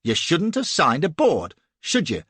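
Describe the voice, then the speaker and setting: A man voicing a cold, menacing middle-aged slave-ship captain in an audiobook, quietly threatening and commanding. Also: on the high side, wildly swooping pitch, unhurried, slightly rough, no echo, voice pushed up, exaggerated voice. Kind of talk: audiobook character voice.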